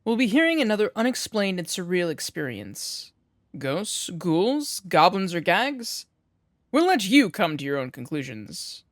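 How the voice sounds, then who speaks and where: A woman's voice: lowish voice, big pitch swings, a little slow, no echo, studio quality. A woman playing the poised host of a late-night paranormal radio show, with a theatrical, intriguing, scripted delivery. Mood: intrigued, mysterious.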